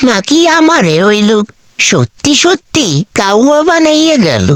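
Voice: high-pitched, very animated, a little slow, noisy background. A performer with a Bangladeshi accent voicing a timid, scheming male lackey in a comic cartoon, wheedling and theatrical. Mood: baffled. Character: scheming, timid, flattering crow-nicknamed male political lackey.

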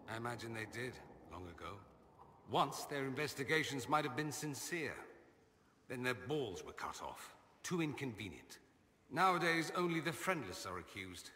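Wry vain mage nobleman, drawling, sharp bright tone, long echo. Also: medium pitch, sing-song, moderate pace, a little hiss or hum. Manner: sardonic, drawling, self-amused. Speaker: companion character. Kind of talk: video game dialogue.

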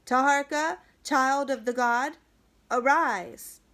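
A solemn sun priest, as a woman storyteller plays him makes a loud, formal proclamation to a court. The delivery is slow and ceremonial, somewhat high in pitch.

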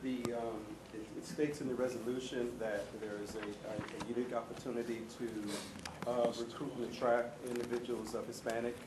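A man serving as trustee, asking questions at a board meeting in halting phrases with restarts. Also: medium pitch, flat delivery. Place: large conference room. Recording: echoey noisy meeting room.

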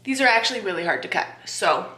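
A woman's voice: mid-range voice, animated, fast, pushed and bright, echoey room. A woman in her twenties filming a casual cooking vlog in her small home kitchen, chatty, flustered and self-deprecating, picked up by a camera mic across the room. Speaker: cooking vlogger.

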